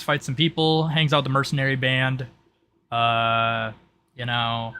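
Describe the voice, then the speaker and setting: A man's voice: medium pitch, sharp tone. A man running a tabletop roleplay session as game master, talking casually and explaining rules off the cuff, with some noise in the recording.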